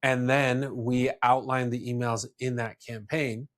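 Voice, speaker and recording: A man's voice: mid-range voice, level tone, unhurried. A man speaking casually as a podcast host, explaining his views with restarts and asides.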